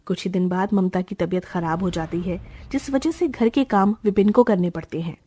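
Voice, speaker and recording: A woman narrating an animated moral story in a steady storytelling voice. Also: medium pitch, normal speed, breathy edge. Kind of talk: scripted narration.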